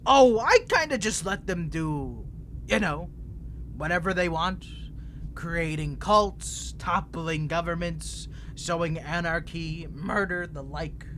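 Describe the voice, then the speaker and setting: A man's voice: a little high, slow, a bit bright, slight background noise. A man voicing an unhinged, ancient imprisoned vampire who is flirtatious, needy and manipulative, talking in a theatrical, rambling, teasing way in an audio roleplay. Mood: flippant, menacing. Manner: theatrical, rambling, teasing, swinging between smug and pleading. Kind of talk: dramatic character audio roleplay.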